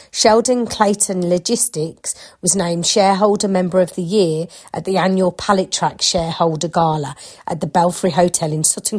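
Low female news reader, bunged up with a cold, plain steady delivery. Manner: plain steady reading with asides describing photos. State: congested, short of breath from a cold. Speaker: newspaper reader for listeners. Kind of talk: reading news articles aloud.

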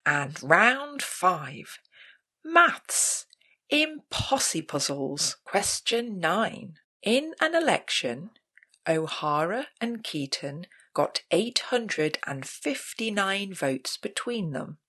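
A woman reading out a scripted pub quiz as host, in a measured, announcing manner. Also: medium pitch, very animated, pushed and bright.

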